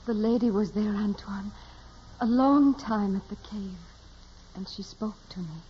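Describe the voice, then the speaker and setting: An actress playing an earnest, devout teenage peasant girl in a reverent, gently insistent manner, in 1940s radio drama style. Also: medium pitch, measured pace, slight background noise, lightly acted. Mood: calm, gentle. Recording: muffled old radio recording, music behind.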